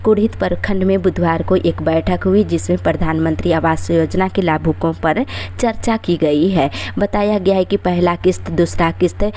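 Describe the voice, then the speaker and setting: A woman's voice: lowish voice, normal speed, dark tone. A woman news presenter reads a scripted bulletin in a formal, formulaic headline-reading manner.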